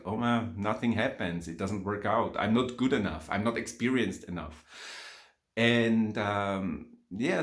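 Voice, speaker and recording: A man's voice: on the low side, reverberant. A man with an Austrian accent, German his first language, talking off the cuff in a one-take vlog, reflective and rambling with frequent ums and laughs. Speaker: spiritual vlogger and teacher.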